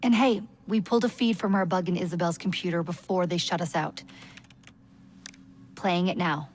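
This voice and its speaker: An actress playing a composed assassin handler giving a mission briefing in a video game. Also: female voice, lowish voice, fairly even intonation, normal speed, darkish tone, close to an everyday voice. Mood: calm, encouraging.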